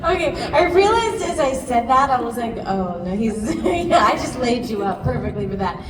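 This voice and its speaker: A woman voice actor on a convention panel, telling a lively story with quick laughs. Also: fairly high pitch, music in the background. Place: large convention hall. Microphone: handheld mic, recorded from far off in the audience. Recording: echoey hall recorded from far off, noisy.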